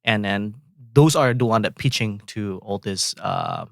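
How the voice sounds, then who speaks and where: A man from Indonesia with an Indonesian accent, a composer guest talking in a halting, relaxed way with many fillers and restarts. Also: on the low side, gravelly, no echo, studio quality. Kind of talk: casual interview chat.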